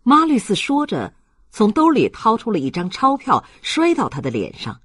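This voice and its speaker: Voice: medium pitch, wildly swooping pitch, moderate pace, background noise. An audiobook narrator reading a novel in a scripted storytelling style.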